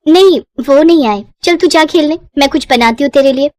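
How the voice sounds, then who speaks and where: Woman acting a high-voiced, long-suffering village mother. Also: moderate pace, darkish tone, no echo, lightly acted. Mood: tender, weary. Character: poor, long-suffering young village mother, tender but weary. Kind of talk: Hindi moral-story cartoon dialogue.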